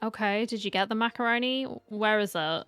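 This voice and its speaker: A woman's voice: medium pitch, fairly quick, a bit bright, clean tone, soft background music. A woman gaming streamer giving a casual, chatty live reaction, talking back to the characters.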